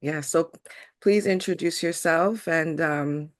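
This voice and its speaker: A woman's voice: sits low, slow pace. A woman speaking as an event host, giving a formal welcome and introduction.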